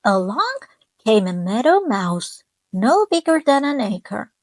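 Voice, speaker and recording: A woman reading a children's picture book aloud, gently stopping to ask her young listeners questions. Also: on the high side, no echo. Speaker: children's storyteller.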